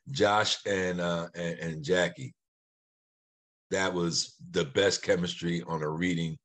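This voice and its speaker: A middle-aged man giving casual, heartfelt remarks on a video call, haltingly and repeating words. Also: low-pitched, fairly even intonation, fairly bright tone. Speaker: actor on a panel.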